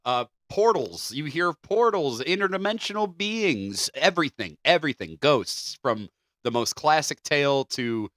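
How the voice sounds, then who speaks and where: A man's voice: on the high side, lively intonation, normal speed, a bit bright, dry recording, clean studio sound. A man co-hosting a podcast, chatting casually and reading out stories. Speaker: podcast co-host.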